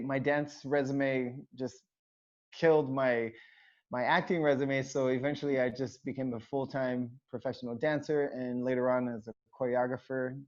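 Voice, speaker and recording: A man of middle pitch, a choreographer chatting as a guest, talks in a loose, rambling way full of ums and false starts. The recording is dull and lo-fi. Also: a little mellow. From United States, raised in Hawaii. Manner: rambling, many fillers and restarts. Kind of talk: casual interview chat. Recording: dull lo-fi sound.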